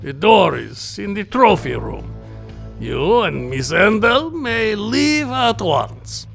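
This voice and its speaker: A villainous hunter in an audio drama, gloating with pompous, lecherous courtesy. The voice is bright and forceful, set a little high, and swings widely between silky taunts and demands. It is theatrical and formal throughout. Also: male voice, big pitch swings, slow, gravelly, soft background music, full character voice. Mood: mock gracious.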